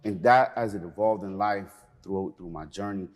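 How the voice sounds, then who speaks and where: Earnest low-voiced middle-aged man. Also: normal speed. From Peru, raised in New York. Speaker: museum cultural program manager, guest. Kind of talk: passionate interview talk.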